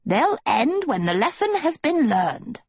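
Woman doing a stern little gnome man teacher, storybook, high voice, pompous and formal. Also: sing-song, a little slow, no echo, heavily acted. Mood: dismissive.

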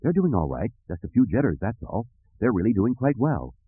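A man's voice: lowish voice, sing-song, fairly quick, husky, narrow, tinny audio. A man voicing an earnest, commanding young space hero with an urgent, direct manner in an audiobook. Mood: reassuring.

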